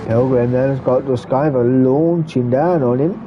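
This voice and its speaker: A man giving relaxed running commentary on a sim race, stuffy and muffled from a cold. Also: mid-range voice, moderate pace. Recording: muffled lo-fi recording with background noise.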